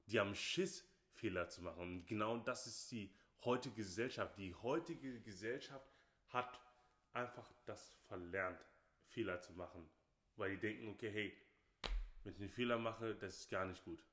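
A man's voice: on the low side, moderate pace, fairly bright tone. A man from Nigeria, a podcast co-host talking casually and emphatically, often repeating himself. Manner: emphatic, repeats phrases, often says "okay, hey". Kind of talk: casual opinionated chat. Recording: roomy echo.

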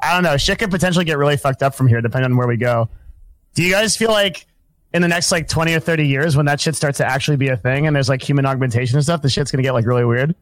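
Male podcast guest, quick and dry-sounding, rambling chatter. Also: a little high, no room sound, low-quality recording. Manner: rambling, laughing, swears freely. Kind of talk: casual group chat.

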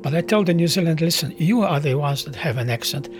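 A man's voice: fairly high pitch, lively intonation, quick pace, soft background music. A man in his eighties from Poland with a Polish accent, speaking reflectively and a little wryly, with hesitant restarts. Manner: hesitant with many restarts, wry. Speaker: interviewee. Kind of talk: reflective personal talk.

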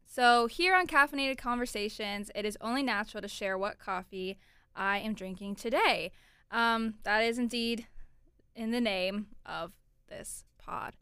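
A twenty-year-old woman from Florida talks chattily as host of her own podcast, with a bright, forceful tone. Her voice is smooth and crisp, and the sound is dry and close. She keeps up an upbeat flow with plenty of "like" and "you know". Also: mid-range voice, no echo. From Orlando, Florida, USA. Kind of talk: casual solo podcast chat.

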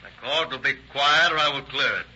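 An actor playing a stern, formal judge with measured authority in a 1930s radio drama. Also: male voice, medium pitch, close to an everyday voice. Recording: muffled, hissy old radio recording.

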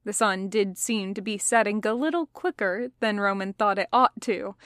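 A woman's voice: medium pitch, normal speed, fairly bright tone, no room sound, clean studio sound. A woman reading scripted fiction as an audiobook narrator. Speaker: podfic narrator.

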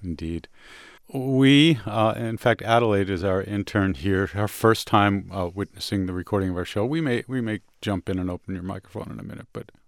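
A man's voice: fairly low pitch, normal speed, vocal fry, no room sound. A man hosting a music show, chatting casually and introducing songs in an enthusiastic, rambling way, as if thinking aloud.

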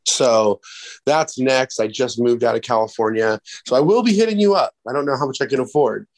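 A man's voice: mid-range voice, a little fast. Stuffy-nosed man in his forties. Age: 40s. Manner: loose, candid, swears casually. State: nasal from a cold. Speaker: guest musician. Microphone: video call.

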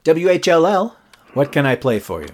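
A man's voice: a little high, very animated, normal speed, a little hiss or hum. A young man playing a nervous, eager rookie late-night rock DJ with an awkward, jokey manner in a horror audio drama. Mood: upbeat. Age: young adult.

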